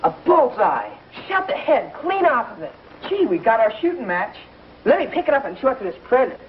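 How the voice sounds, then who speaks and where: A woman's voice: a little high, sing-song, a little fast, a little hiss or hum. A woman playing a scrappy, defiant country schoolboy who talks in blunt, rustic style in an old western drama. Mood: excited. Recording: dull vintage soundtrack under loud music.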